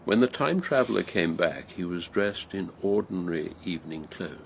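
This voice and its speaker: An actor playing an elderly writer who narrates an old memory in a reflective, literary, gravelly voice. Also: male voice, deep voice, moderate pace, a little hiss or hum. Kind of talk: radio drama narration.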